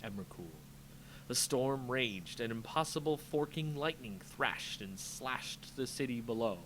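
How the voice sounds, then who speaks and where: A man's voice: medium pitch, lively intonation, measured pace, fairly bright tone, low-quality recording. A man reading a fantasy story aloud as a dramatic narrator, with faint noise behind him.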